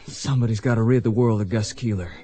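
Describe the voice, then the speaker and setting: A man's voice: on the low side, quick pace, warm tone, close to an everyday voice. An actor playing a determined, earnest young man bent on vengeance in a radio western, speaking insistently.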